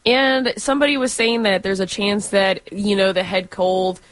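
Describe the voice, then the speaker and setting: A woman's voice: mid-range voice, steady tone, moderate pace, dry recording. A woman co-hosting a radio show in casual, informal chat, peppering her sentences with filler words like "like". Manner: informal, frequent use of "like".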